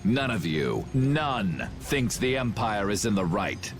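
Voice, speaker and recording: A man's voice: fairly low pitch, sing-song, takes it slowly, over music, full character voice. A man voicing a grandiose, cold villain who would rule the world, speaking formally and theatrically in a video game cutscene. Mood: cold, imperious.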